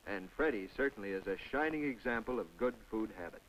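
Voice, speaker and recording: A man's voice: on the low side, lively intonation, quick pace, slight background noise, poor audio. A man narrating a scripted 1950s public information film in a folksy, instructive way, with conversational asides to the viewer. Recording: vintage 1950s film soundtrack.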